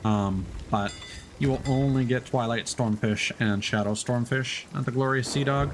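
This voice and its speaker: A man's voice: medium pitch, moderate pace, slight background noise, low-quality recording. A man casually commenting on his gameplay as a streamer, chatting through tips with many fillers.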